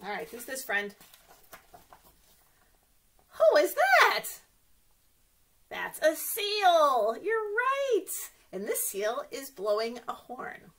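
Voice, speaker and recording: A woman's voice: sits high, wildly swooping pitch, very slow, pauses often, fairly bright tone. A woman reading a picture book aloud for young children as a story time host, playfully exclaiming and asking her listeners questions.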